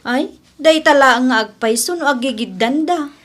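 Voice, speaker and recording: A woman's voice: high-pitched, normal speed, fairly bright tone. A woman playing a chatty, nosy village woman in an Ilocano radio drama, with an Ilocano Filipino accent and an inquisitive manner.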